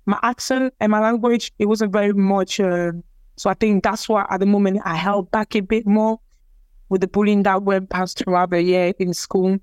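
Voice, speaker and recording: A woman's voice: mid-range voice, smooth, no echo. A young woman from Cameroon whose first language is French, telling her story in a casual interview, hesitant and repeating words with many fillers. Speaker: interviewee, athlete.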